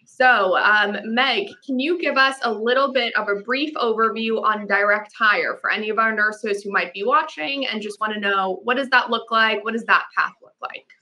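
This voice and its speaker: A woman with a fairly high, bright and forceful voice moderating a panel. She is upbeat and welcoming and keeps things moving from guest to guest. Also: fairly even intonation, normal speed, few pauses, poor audio. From immigrant to the US. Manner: upbeat, introduces and thanks each guest. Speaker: host.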